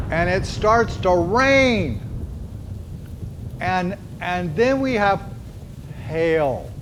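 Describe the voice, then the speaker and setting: A middle-aged man with a Texas accent preaches in a folksy, storytelling way. His voice sits a little high for a man. He slows down to lean on the phrases he wants to land. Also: noisy background. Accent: Texan. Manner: folksy and conversational, with emphatic slowed phrases. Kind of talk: sermon-style storytelling.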